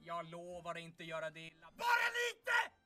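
Coaxing comic bully, acted by a man, very sharp tone, swooping pitch, menacing. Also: wildly swooping pitch, faint music behind, full character voice. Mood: coaxing threat.

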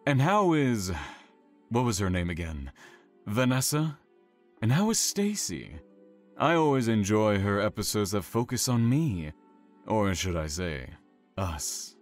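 Man acting smug, deep-voiced, swooping serial killer. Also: very animated, soft background music. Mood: playful, gloating. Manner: smug, teasing, drawn-out menacing delivery. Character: taunting, obsessive imprisoned male serial killer. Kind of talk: audio horror drama acting.

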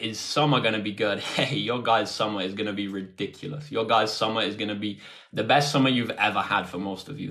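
A man's voice: fairly low pitch, sharp tone. A man in his twenties with a British accent, hosting a tutoring livestream in an informal, chatty way and speaking directly to viewers. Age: 20s. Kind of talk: casual livestream chat, answering viewer questions. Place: indoors, close to a phone on a tripod.

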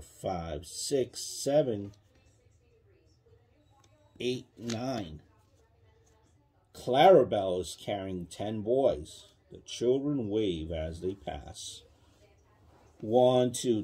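A man's voice: mid-range voice, dark tone, husky. A man reading a children's counting book aloud to a child, patiently prompting with questions. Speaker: parent reading a bedtime story to a child. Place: small child's bedroom, indoors.

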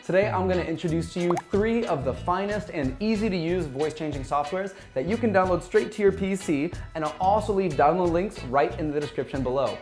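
A man in his thirties giving a scripted software tutorial in an upbeat presenter's manner, speaking into a lapel mic in a small office. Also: high-pitched, a little fast, few pauses. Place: small bright office, indoors. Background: background music.

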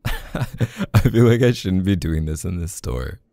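A man's voice: deep voice, animated, takes it slowly, mellow, dry recording, studio quality. A man performing a casual, intimate roleplay as a playful, bashful, affectionate boyfriend, talking one-sidedly with a hesitant manner.

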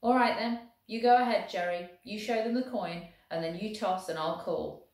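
A woman's voice: medium pitch, moderate pace, darkish tone, heavy reverb, natural voice, rough recording. A woman reading aloud, voicing a little Welsh soldier with a Welsh accent, earnest and folksy. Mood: warm. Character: little Welsh soldier, warm and plain-spoken.

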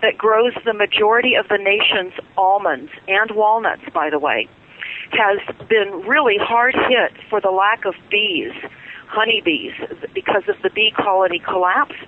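A woman's voice: mid-range voice, a little slow, slight background noise. A woman guest speaking urgently and insistently over the phone on a radio talk show, with frequent filler ums. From California. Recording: tinny phone line.

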